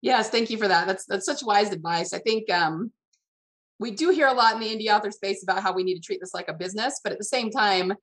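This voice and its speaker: A woman's voice: mid-range voice, fast, fairly bright tone. A woman hosting a casual interview in a chatty, affirming, conversational way. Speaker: interview host, author.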